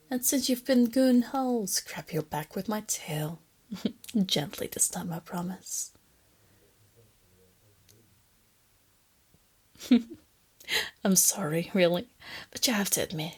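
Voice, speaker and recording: A woman acts out a female lamia captor in a soft ASMR roleplay. Her voice sits a little low and is breathy, often slipping into whispers. She coaxes and teases with doting condescension and a hint of threat. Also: full character voice. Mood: teasing, tender. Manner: teasing, coaxing, condescending, giggly. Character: female lamia captor, softly teasing, doting yet menacing.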